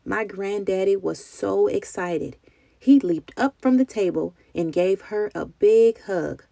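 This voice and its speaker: A woman reading a children's story aloud in an expressive, deliberate storytelling style. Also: medium pitch, gravelly, dry recording. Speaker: children's book reader.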